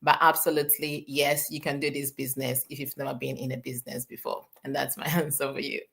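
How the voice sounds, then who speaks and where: Woman from Ghana, low and bright, earnest panel speaker who stresses her points by repeating them. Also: little pitch movement, fast talker, gravelly. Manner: earnest, encouraging, repeats for emphasis. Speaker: guest speaker. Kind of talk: live online panel talk.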